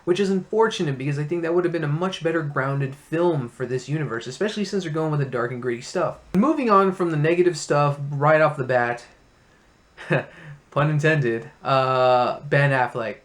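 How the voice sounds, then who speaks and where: A man's voice: on the high side, moderate pace. A man hosting a casual movie review, talking as an enthusiastic fan in a room full of memorabilia.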